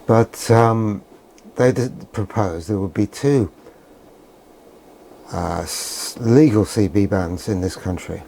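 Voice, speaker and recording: Older British male, lowish mellow voice, sardonic grumbler, unscripted vlog delivery. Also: slow pace, gravelly, long pauses, a little hiss or hum. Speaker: presenter of a vintage radio video. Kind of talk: rambling unscripted vlog commentary.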